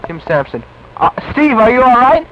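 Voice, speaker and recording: A man playing an excitable young male adventurer in an amateur horror audio play, with an earnest, stagey delivery. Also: very high voice, normal speed, slight background noise, pitched above the performer's own voice, full character voice, low-quality recording. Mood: concerned.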